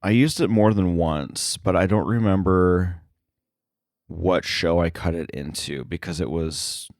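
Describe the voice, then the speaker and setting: A man talking casually as an interview guest, halting often and correcting himself as he goes. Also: deep voice, darkish tone, no room sound, clean studio sound. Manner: halting, filler-heavy, self-correcting. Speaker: podcast guest, sound editor.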